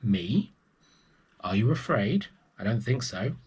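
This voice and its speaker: A man's voice: fairly low pitch, very animated, slow, darkish tone, slightly rough, exaggerated voice. A man voicing a plucky, helpful young girl, earnest and brave, in a children's storybook. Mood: concerned, brave.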